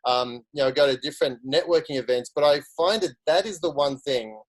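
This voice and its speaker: A man's voice: a little high, a little fast, rough recording. A middle-aged man with an Australian accent, chatting as a guest speaker about his career, often restarting his sentences. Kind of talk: casual career story.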